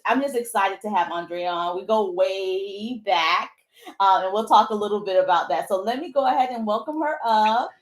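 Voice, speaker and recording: A woman's voice: mid-range voice, roomy sound. A woman hosting a live online talk show, enthusiastic and upbeat, with hesitant fillers. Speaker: host.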